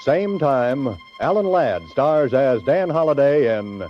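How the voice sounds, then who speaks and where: A man's voice: medium pitch, expressive, takes it slowly. A man reading scripted closing announcements as a 1940s radio announcer. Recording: dull 1940s radio recording. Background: music.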